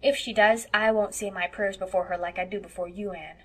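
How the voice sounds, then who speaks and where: A woman reader plays a stubborn eight-year-old country boy from a storybook. He speaks in blunt, insistent bursts with homely childish grammar, pushed up higher and louder. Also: expressive, normal speed. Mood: earnest, decided. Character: defiant, plain-spoken eight-year-old farm boy. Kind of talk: audiobook character voice.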